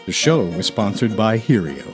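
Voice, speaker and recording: A man's voice: lowish voice, big pitch swings, moderate pace, over loud music. A man working as an announcer, reading scripted credits.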